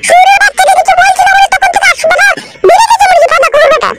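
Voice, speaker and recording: A woman voicing a loud, foul-mouthed, scolding cartoon mother-in-law who shouts threats and abuse. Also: very high-pitched, racing, no room sound, soft background music. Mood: angry scolding. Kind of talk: crude comedy cartoon dubbing.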